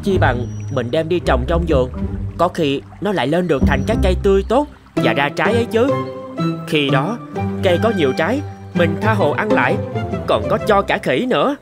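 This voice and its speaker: A woman's voice: fairly low pitch, expressive, moderate pace, over loud music, lightly acted. A woman voicing a kind, naive, friendly little crab in a children's cartoon, earnest and eager. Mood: hopeful.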